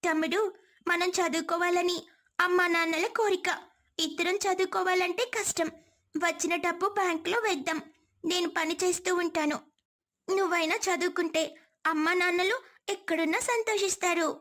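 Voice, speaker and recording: Young orphan girl, played by a woman, very high pitch, dutiful elder sister, moral story character. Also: fairly even intonation, normal speed, fairly bright tone. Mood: earnest, resolute.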